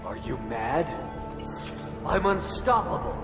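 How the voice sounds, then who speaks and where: Game role: pompous, deluded alien megalomaniac, unhurried delivery, big echoing hall, music underneath. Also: male voice, fairly high pitch, very animated, heavily acted. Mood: grandiose. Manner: pompous, bombastic, rambling. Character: deluded alien biotic braggart, grandiose and dazed. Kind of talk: video game character acting. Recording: echoey hall with loud music behind.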